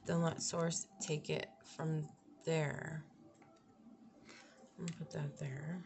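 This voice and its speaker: A young woman with a slightly raspy voice doing a casual tarot reading, thinking aloud, trailing off and restarting her sentences. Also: deep voice. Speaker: tarot reader. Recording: audible background noise.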